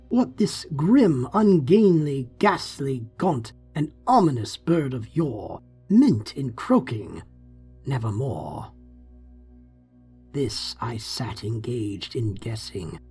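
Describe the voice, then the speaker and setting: A man narrating gothic poetry in a theatrical, brooding manner, with deliberate phrasing. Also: mid-range voice, mellow, husky. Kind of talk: dramatic gothic poetry reading.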